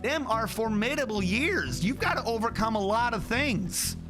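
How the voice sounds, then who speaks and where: A man voicing a laid-back Southern rancher, putting on a slow, unhurried American Southern drawl as he lectures. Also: very high voice, expressive, over music, pitched above the performer's own voice. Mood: lecturing. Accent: put-on American Southern.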